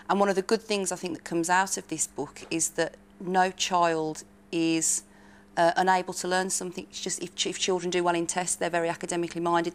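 A woman, giving measured explanations in a panel discussion. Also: fairly low pitch, clear, resonant tone. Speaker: panellist, researcher.

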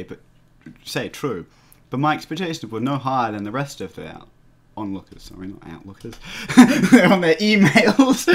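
A man reading a visual novel aloud as a let's play host, casual and jokey, often stumbling over his words and giggling. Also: mid-range voice. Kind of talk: casual read-aloud with joking commentary.

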